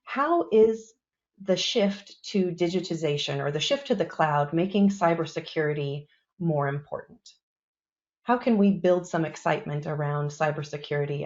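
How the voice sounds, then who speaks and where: Woman, very low-pitched, moderator, friendly, organized, muffled call sound. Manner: friendly, organized, guiding questions. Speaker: webinar moderator. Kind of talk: moderating a panel.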